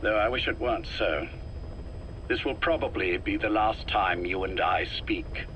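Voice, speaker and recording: Casting: older, sickly spy chief for a game. Coolly polite yet threatening, deliberate, bright forceful tone, faintly noisy recording. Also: male voice, fairly low pitch. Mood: weary. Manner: measured, deliberate, politely menacing. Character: ailing, calculating older male intelligence chief, calm and controlling. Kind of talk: scripted game dialogue, dramatic acting.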